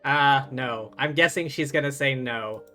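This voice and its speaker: A woman's voice: very deep voice, a little slow, a bit bright, soft background music. A woman live streamer reading game dialogue aloud and adding casual commentary as she plays.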